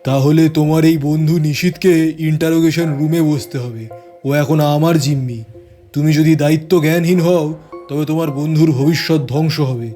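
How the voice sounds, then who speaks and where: A man plays a stern, shrewd police inspector with a very mellow, dark-toned voice pitched a little high. He speaks with measured authority in a crime audio drama, over faint music. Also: fairly even intonation, lightly acted. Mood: stern warning. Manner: authoritative, measured, some English phrases. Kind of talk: audio story acting.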